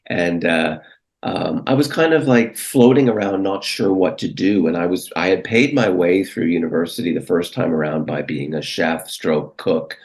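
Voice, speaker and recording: A man's voice: low voice, moderate pace, darkish tone. A middle-aged Canadian man from Vancouver answering as an interview guest, recounting his career in a conversational way.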